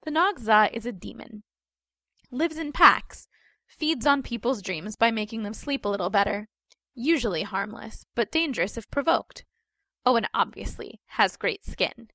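A woman's voice: on the high side, lively intonation, normal speed, bright, forceful tone, no room sound. A sly teenage girl, voiced by a woman reading a story explains things with mock patience and a straight face. The sound is close and dry, and her tone stays matter-of-fact, as if teaching. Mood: mock-wise. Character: sly teenage girl, mock-wise, deadpan leg-puller.

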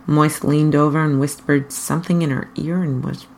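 A woman with a husky voice reading a story aloud as a narrator. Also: sits low, fairly even intonation, moderate pace, a little hiss or hum. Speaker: fan fiction reader.

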